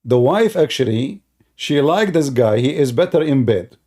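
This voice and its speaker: Mellow-voiced man telling a mocking story. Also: mid-range voice, animated, moderate pace. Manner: mocking, repeats phrases for emphasis. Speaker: online commentator and host. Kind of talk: informal mocking storytelling commentary to viewers.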